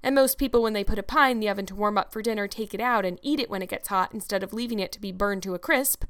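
A stern middle-aged woman guardian, as a woman narrator acts her out from a storybook. She is practical and sarcastic. Her delivery is brisk and dry, always ready to scold. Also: mid-range voice, a bit bright, smooth, close to an everyday voice.

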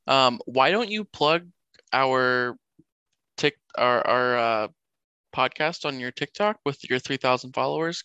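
A man co-hosting a podcast in casual chat, jokey and halting, laughing often. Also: medium pitch, pushed and bright, clear, resonant tone, dry recording. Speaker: podcast co-host.

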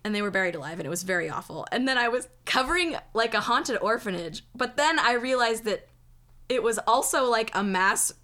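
A young woman, hoarse from losing her voice, hosting a podcast in casual chat, emphatic and swearing freely. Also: fairly high pitch, animated, fairly quick, sharp tone. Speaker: podcast host telling the story.

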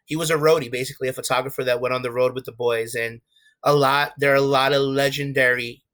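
A man's voice: mid-range voice, steady tone, quick pace, a bit bright. A young man with a New York accent, talking candidly as an interview guest, with many restarts and fillers. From USA. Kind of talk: casual interview talk.

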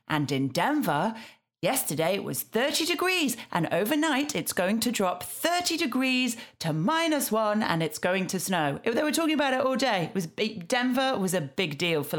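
A woman's voice: medium pitch, big pitch swings, normal speed. A British woman, around her thirties or forties, chatting casually and self-deprecatingly as a podcast co-host. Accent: British English. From Yorkshire, England. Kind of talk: casual chat, TV recap.

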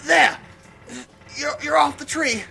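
A woman's voice: high-pitched, slow pace, creaky, sharp tone, faint music behind, exaggerated voice. A woman acting an earnest, helpful survivor with a hesitant, stammering delivery in an audio horror drama. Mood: straining, urgent. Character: earnest, helpful survivor straining to rescue a companion.

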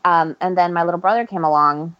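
Woman author guest from Ecuador, slightly low voice, rambling and self-correcting, dull lo-fi sound. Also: fairly even intonation, fast. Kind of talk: casual interview chat. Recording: muffled lo-fi audio.

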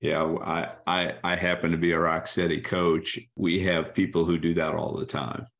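A man's voice: sits low, flat delivery, moderate pace, a bit bright. A man who works as an exercise coach, asking interview questions in a friendly way with hesitant restarts over a video call.

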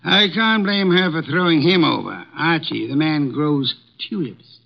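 An actor playing a fussy, imperious detective who speaks in precise, haughty pronouncements, in 1950s radio drama style. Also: male voice, a little high, measured pace, creaky. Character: fussy, imperious male detective, older and portly. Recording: dull lo-fi 1950s radio broadcast.

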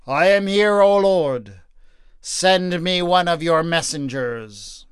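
A man voicing a pompous, self-righteous man who prays loudly and scoffs at his wife. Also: sits high, lively intonation, slow pace, smooth, no echo, clean studio sound. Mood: pleading.

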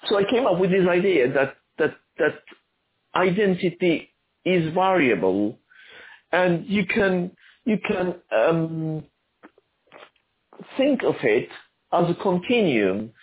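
A man who grew up in Argentina, an author answering interview questions in a halting, thoughtful way with many restarts. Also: high voice, big pitch swings, phone-quality sound.

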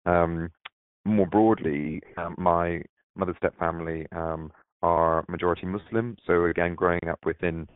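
A man's voice: deep voice, steady tone, unhurried, smooth. A man, born in Barbados, answering interview questions hesitantly with many fillers, over a phone-like line. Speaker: diversity manager, interview guest.

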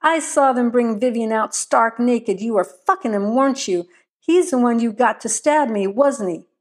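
A woman's voice: on the high side, moderate pace, close to an everyday voice. A woman voicing a deranged, vengeful wounded husband in an audiobook, menacing and taunting. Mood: enraged, accusing.

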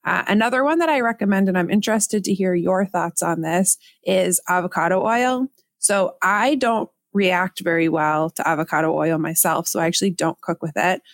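A woman co-hosting a casual talk show, explaining things in a steady, informative way. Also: on the low side, moderate pace, dry recording, clean studio sound. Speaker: podcast co-host. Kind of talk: casual conversational chat.

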